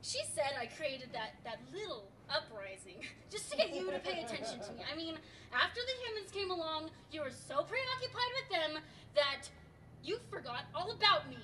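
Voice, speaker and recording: A very high-pitched, defiant fallen angel played by a woman with a Cockney accent. The delivery swings up and down in indignant, sulky comic bickering, with crowd noise behind it. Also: big pitch swings, moderate pace. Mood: hurt. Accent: British, Cockney. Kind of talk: comic two-hander dialogue.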